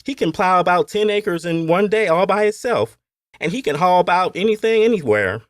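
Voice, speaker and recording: A man's voice: sits high, animated, moderate pace, no room sound, clean studio sound, pitched above the performer's own voice. A man voicing a crude, deferential young plantation overseer with a folksy Appalachian accent, in an audiobook story. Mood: eager, folksy. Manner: folksy, coarse, ungrammatical speech.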